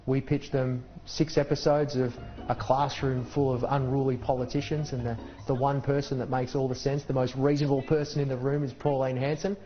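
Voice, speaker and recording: A man's voice: mid-range voice, flat delivery, a little fast, few pauses, highs cut off, soft background music. An Australian man in a casual interview, telling stories animatedly and quoting people as he goes. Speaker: cartoon creator and voice impressionist.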